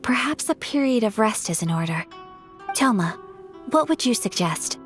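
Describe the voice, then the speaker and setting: A woman voicing a composed, dignified young noblewoman in a video game, with a formal and measured manner. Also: on the high side, big pitch swings, soft background music. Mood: thoughtful. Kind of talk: English video game voice acting.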